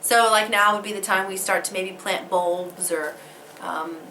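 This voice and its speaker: A woman's voice: medium pitch, fairly even intonation, measured pace, pushed and bright, slight background noise. A woman talking casually to camera, losing her voice from a head cold, laughing often and swearing casually. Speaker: vlogger.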